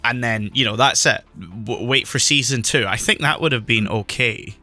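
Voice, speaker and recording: A man's voice: mid-range voice, normal speed, pushed and bright, soft background music. A man co-hosting a casual podcast whose voice is still recovering after he lost it, rambling with many fillers and restarts while he records remotely on a new microphone. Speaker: podcast co-host. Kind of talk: casual chat.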